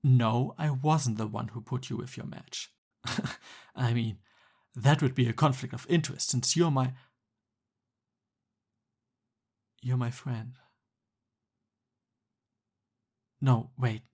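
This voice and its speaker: A man's voice: medium pitch, unhurried, darkish tone, slightly rough. A man playing a jealous, exasperated young Cupid best friend in a conversational boyfriend roleplay ASMR. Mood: flustered.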